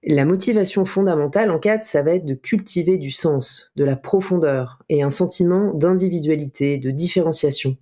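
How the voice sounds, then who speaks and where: Mellow-voiced woman reading a calm lesson. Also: sits low, moderate pace, few pauses. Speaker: podcast co-host and enneagram coach. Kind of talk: read explanatory narration.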